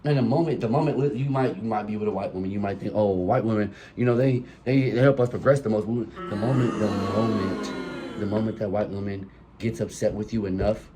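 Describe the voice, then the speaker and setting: A man's voice: lowish voice, fairly quick, noisy background. A young man with a cold, sniffling as he talks, preaching passionately and profanely into a phone mic in a room with colored lights. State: sick and sniffling. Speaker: podcast host. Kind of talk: solo podcast monologue.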